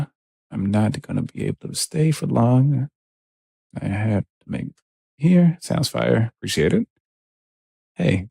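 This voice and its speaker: Deep, gravelly, laid-back man on a livestream. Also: no echo. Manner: laid-back and rambling, with soft laughs. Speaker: music producer streaming his session. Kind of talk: casual livestream chat, reading viewer comments.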